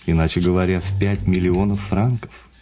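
Actor as a mellow, smooth-less, urbane notary. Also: male voice, deep voice, steady tone, normal speed, lightly acted. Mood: courteous, coaxing. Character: urbane, patient male notary breaking news of a fortune. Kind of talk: radio drama acting. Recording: studio radio play with faint music.

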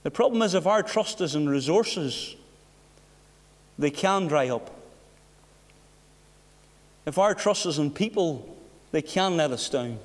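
Earnest preacher, high voice, echoey hall. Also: male voice, expressive, takes it slowly, pauses often. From Northern Ireland. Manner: earnest, rhetorical questions, drops to a hush. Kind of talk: sermon with personal story. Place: church hall behind a wooden pulpit. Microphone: pulpit mic from a far camera.

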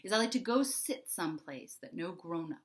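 A middle-aged woman speaking to young children in an expressive, conversational way, with emphatic pauses, as an author reading and explaining. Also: fairly low pitch. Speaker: children's author reading her book and giving a writing prompt. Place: small indoor home room.

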